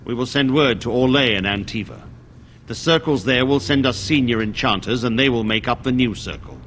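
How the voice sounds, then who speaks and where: An actor playing a stern, solemn senior mage in a fantasy game, speaking formally and with measured care. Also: male voice, medium pitch, fairly bright tone, a little hiss or hum, natural voice. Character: solemn, dismissive senior male mage of a circle.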